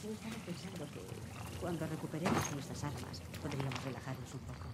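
A woman's voice: low voice, fast, very noisy, close to an everyday voice. A woman playing a tough, decisive smuggler in a video game, commanding and no-nonsense, with a Castilian Spanish accent. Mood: wry, relaxed. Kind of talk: dramatic video game voice acting.

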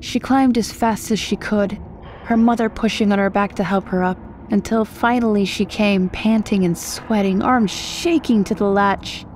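A woman's voice: mid-range voice, steady tone, normal speed, a little mellow, a little hiss or hum, poor audio. A woman from the United States reading scripted horror fiction as a story narrator.

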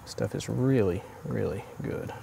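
A man in his forties hosting a home cooking show, explaining each step in a relaxed, easygoing way from his kitchen. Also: fairly low pitch, a little slow, gravelly, background noise. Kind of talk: casual cooking demonstration.

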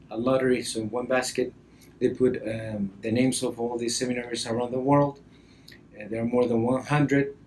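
A man's voice: mid-range voice, a little mellow. Male priest, 30s, Costa Rican accent, mid-range voice, hesitant talk to camera, faint background noise. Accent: Costa Rican Spanish. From Costa Rica. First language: Spanish. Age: early 30s. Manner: hesitant, halting delivery with frequent pauses. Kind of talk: personal introduction to camera. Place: indoor office or library, seated at a desk.

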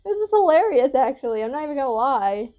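A woman's voice: high voice, very animated, moderate pace, muffled recording. A young woman giving casual, giggly, rambling reaction commentary as a video host, recorded indoors on a device at arm's length. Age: young adult. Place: indoor room at home.

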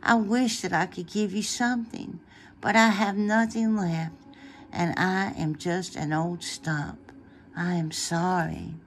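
A woman voicing a gentle, loving female tree in a storybook reading, in a soft, tender way. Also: on the low side, steady tone, takes it slowly, slight background noise. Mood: sorry.

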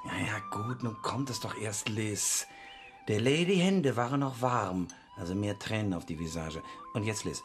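An actor playing an earnest village constable and would-be author in a German radio play, bombastic and a little grumbly. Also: male voice, lowish voice, expressive, normal speed, faint music behind, close to an everyday voice. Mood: impatient.

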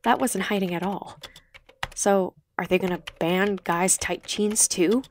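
A brash high school girl as a woman narrator puts her on, frank and casual. She speaks bluntly in an audiobook over faint noise. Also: steady tone, unhurried, lightly acted. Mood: brash, sarcastic. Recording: slightly noisy home recording.

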